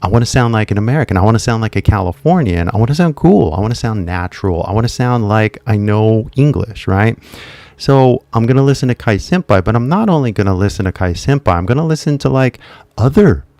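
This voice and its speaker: A man plays an upbeat, determined adult Japanese English learner who coaches himself out loud. His phrasing is slow, deliberate and repetitive, with lots of fillers. The voice sits fairly low and sounds dry and close, with no room around it. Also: animated, no echo. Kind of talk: modeled learner self-talk.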